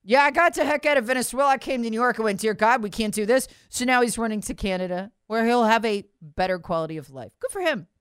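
A woman's voice: on the high side, quick pace, few pauses, clean tone, no echo, clean studio sound. A woman hosting opinionated political commentary, speaking sarcastically and emphatically, repeating phrases for effect and acting out quotes in a conversational way.

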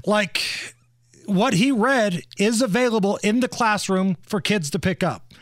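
A man's voice: sits high, measured pace, no room sound, studio quality. A man hosting a talk radio show with opinionated, emphatic commentary.

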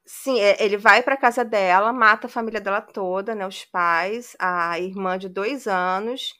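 A woman's voice: mid-range voice, unhurried, bright, forceful tone. A woman hosting a podcast, recounting a true-crime case in a casual conversational way. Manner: conversational retelling with frequent "né". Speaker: podcast host.

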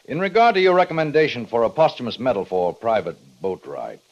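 Animated, hesitant American army major. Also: male voice, mid-range voice, brisk. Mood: formal, hesitant. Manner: formal, hesitant, hemming. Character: fussy, awkward army major, formal superior officer. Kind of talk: 1950s radio western acting. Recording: dull 1950s radio recording.

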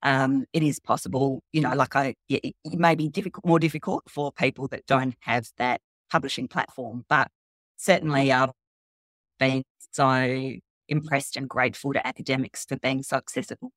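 Casting: woman, low voice, interview guest, journalist explaining her craft with asides, close dry sound. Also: fairly even intonation, moderate pace, dry recording. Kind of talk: conversational interview answers.

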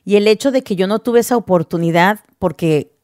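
A woman's voice: mid-range voice, brisk, a little mellow, dry recording, studio quality. A woman from the United States tells personal stories casually and candidly, mixing in English words. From United States (New Jersey, later Texas). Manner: candid, conversational, mixes English words into Spanish.

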